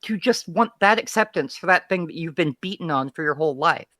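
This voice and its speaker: Bright-voiced Texan guest, candid. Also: mid-range voice, animated, a little fast, dry recording, clean studio sound. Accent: Texan, softened. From Texas. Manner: candid, thoughtful, opinionated. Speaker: guest, feed curator. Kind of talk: casual conversation.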